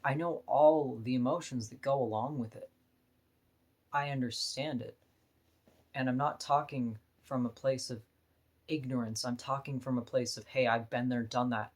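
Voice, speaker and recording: A woman with a deep voice, talking bluntly and frankly in a candid personal vlog monologue, laughing now and then between points. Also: fairly even intonation, moderate pace, a little mellow. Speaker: vlogger.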